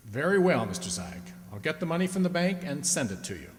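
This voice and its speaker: Radio play: man plays nervous henpecked husband, high-pitched, hesitant, swooping delivery. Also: big pitch swings, fast. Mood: nervous, eager. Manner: stammering, hesitant, easily alarmed. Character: flustered, anxious henpecked husband. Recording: echoey hall, long reverb.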